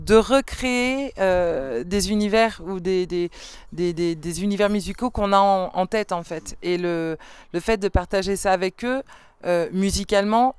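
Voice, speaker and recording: A woman's voice: mid-range voice, clear, resonant tone, no echo. A woman singer answering interview questions in a thoughtful, hesitant way, with many fillers and restarts. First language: French. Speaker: band singer and songwriter. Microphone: studio microphone.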